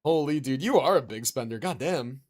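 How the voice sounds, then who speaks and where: A man's voice: medium pitch, lively intonation, a little fast, a little mellow. A man livestreaming a video game, chatting casually and reacting in slangy, mildly sweary language. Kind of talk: casual livestream chat with gaming commentary. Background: video game music and dialogue.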